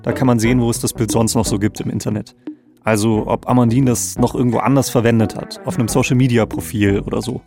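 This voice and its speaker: A man's voice: mid-range voice, steady tone, fast, creaky. A man co-hosting a podcast, narrating a scripted story in a conversational way. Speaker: podcast co-host. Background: faint background music.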